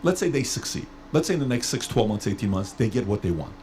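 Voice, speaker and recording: A man from Iran hosting a podcast and asking long, rambling questions. He repeats himself and slows down to stretch out words. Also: fairly low pitch, animated, dark tone, slight background noise. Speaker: podcast host. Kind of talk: casual interview talk.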